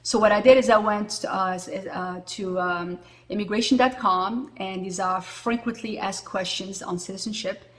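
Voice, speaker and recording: A woman's voice: mid-range voice, steady tone, moderate pace, fairly bright tone. A woman in her forties from Morocco, talking casually to camera as a vlogger, with a lot of filler words. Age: 40s. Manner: chatty, with frequent fillers.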